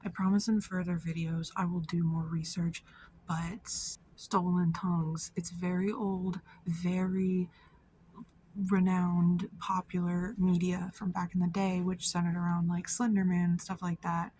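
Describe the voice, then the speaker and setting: Low, even-toned casual woman vlogger. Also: measured pace, slight background noise. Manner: informal, slangy, frequent "like" and swearing. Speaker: video creator. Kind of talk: casual vlog commentary.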